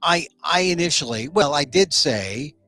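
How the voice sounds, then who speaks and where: Male talk-show host, middle-aged, rambling and chatty, slightly high voice, animated. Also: measured pace, soft background music. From United States. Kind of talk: casual solo talk show.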